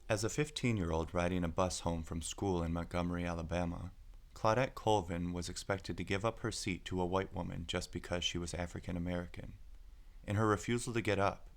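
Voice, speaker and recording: A man with a deep voice reads a children's picture book aloud. There is plenty of vocal fry, and the scripted narration is clearly phrased with deliberate pauses. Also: fairly even intonation.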